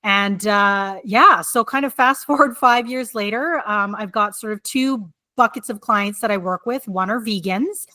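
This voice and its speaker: A woman's voice: medium pitch, unhurried, few pauses, sharp tone, no room sound. A woman guest on a podcast interview, chatty, often laughing and leaning on fillers as she tells her story. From Canada. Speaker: guest, book coach and writer. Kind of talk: interview answers.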